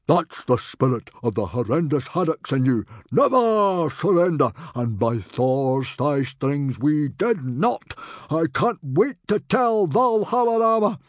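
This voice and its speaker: A man voicing a proud, booming middle-aged Viking chief who bellows and blusters. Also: darkish tone, dry recording.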